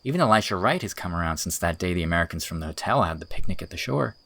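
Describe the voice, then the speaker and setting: A man's voice: low voice, animated, rapid-fire, a bit bright, dry recording, full character voice. A man playing an earnest, teasing young village schoolteacher in audiobook dialogue, articulate and enthusiastic. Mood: keen, optimistic.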